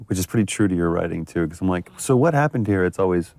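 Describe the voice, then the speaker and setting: A man with a husky, gravelly voice giving casual commentary on a game. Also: low-pitched, animated, quick pace, a little mellow. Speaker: commentator who worked on the game.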